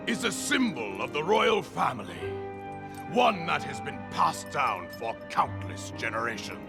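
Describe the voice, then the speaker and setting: A man's voice: a little high, gravelly, heavily acted. A man playing a regal, grave old king in a video game, formal and fatherly, with a stately ceremonial delivery. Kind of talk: video game cutscene acting.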